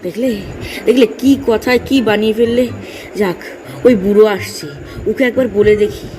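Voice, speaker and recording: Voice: medium pitch, moderate pace, warm tone, lightly acted. A performer in a comic audio drama playing a weary, thirsty male traveller who is polite but exasperated. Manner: pleading, exasperated, polite address. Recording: music behind the voice.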